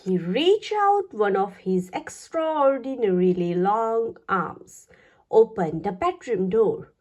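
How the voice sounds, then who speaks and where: A woman reads a children's story aloud with a lightly husky voice. Her pitch moves up and down in a very animated way, and she takes her time over each phrase in an expressive, deliberate style for young listeners. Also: highs cut off.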